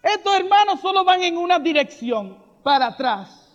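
A man preaching a charismatic sermon, fervent and rising to shouted refrains, with joking asides and soft laughs. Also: extremely high pitch, sing-song, moderate pace. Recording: reverberant hall, dull lo-fi sound.

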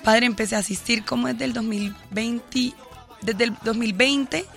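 A young woman from Bogotá with a Colombian Caribbean coast accent, sharing her faith testimony and leading a prayer in a soft, earnest voice. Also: fairly high pitch, measured pace, vocal fry, soft background music. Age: 20s. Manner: earnest, leads prayer in a soft steady cadence. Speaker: guest giving testimony. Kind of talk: casual chat and spoken prayer.

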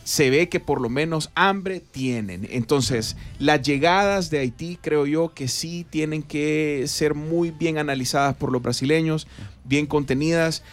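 Upbeat sports radio host. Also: male voice, on the high side, unhurried. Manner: lively, wraps up and reads sponsor spots. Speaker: show host. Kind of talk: morning sports radio talk.

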